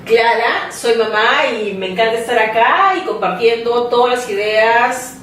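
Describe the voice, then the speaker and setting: This voice belongs to a woman, a mother on an education panel, who speaks in a heartfelt, reflective way. Her pitch sits in a middle range. She sounds distant, in a very echoey room with faint noise behind her. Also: unhurried, a bit bright. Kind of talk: panel discussion. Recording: roomy studio heard from a distance.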